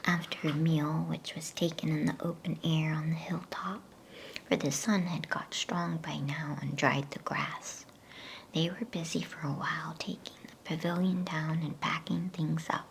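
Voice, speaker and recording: Female ASMR storyteller: breathy whisper, very slow, low and soothing, for gentle bedtime reading. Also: level tone, slight background noise, poor audio. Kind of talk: whispered ASMR reading aloud of a children's novel.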